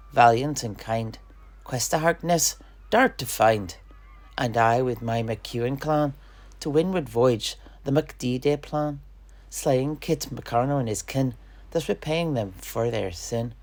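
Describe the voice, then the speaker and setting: A man playing a solemn noble conspirator, declaiming rhyming mock-Shakespearean verse with deliberate emphasis. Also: medium pitch, expressive. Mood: resolute.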